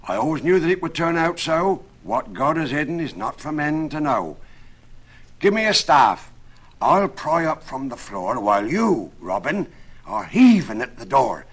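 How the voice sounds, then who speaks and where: Man acting a loud, fretful, credulous carpenter. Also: on the high side, very animated, unhurried, husky, pitched above the performer's own voice, heavily acted. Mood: alarmed, bossy. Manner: exclamatory, oath-swearing, loud. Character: pious, credulous working-class carpenter, alarmed and fretful. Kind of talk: dramatised verse storytelling.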